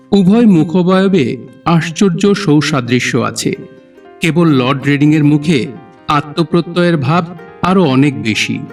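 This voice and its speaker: A man's voice: a little high, a little mellow, far from the mic. A man narrating an audio drama in a formal, literary storytelling style.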